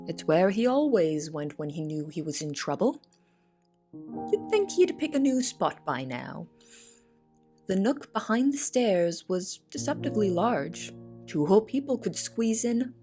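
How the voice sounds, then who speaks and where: A woman playing a wry young cook who narrates her kitchen life in an expressive, storytelling way for an audio drama. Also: mid-range voice, a little slow. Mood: wry, fond. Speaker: narrator and lead character. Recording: music behind the voice.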